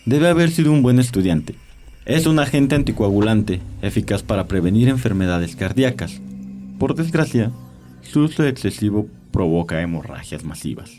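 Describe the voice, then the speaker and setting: Prominent music runs behind a low male voice. A man acts out an elderly, cunning vampire posing as a physician in an audio story. He speaks with measured, courteous wisdom and cold, quiet menace. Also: expressive, close to an everyday voice. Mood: controlled, clinical. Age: older. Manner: courteous, measured, wise-sounding, coldly menacing. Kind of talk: dramatized audio story acting.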